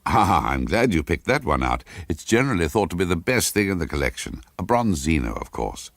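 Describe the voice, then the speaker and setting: A man's voice: low voice, big pitch swings, fairly quick, dry recording, exaggerated voice. A man reading aloud as a cultured, well-bred English husband, urbane and casually knowing, in a radio story. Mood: pleased. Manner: light, urbane, casual lecturing.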